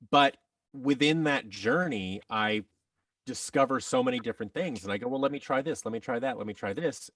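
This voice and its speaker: Guy w a lowish voice chatting on a podcast, thinks as he goes, acts out quotes, bit off the mic but dry. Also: a bit bright, no room sound, distant mic. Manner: reflective, halting, often acts out quoted dialogue. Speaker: podcast guest, actor and audio drama producer. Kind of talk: casual interview storytelling.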